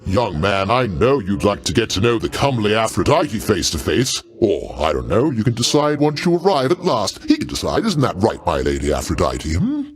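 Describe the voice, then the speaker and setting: A man's voice: on the low side, huge pitch swings, normal speed, few pauses. A male actor playing a pompous, jovial older king of the gods in a video game, grandiose and avuncular with genteel, old-fashioned phrasing. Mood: teasing, dismissive. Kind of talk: theatrical video game character acting.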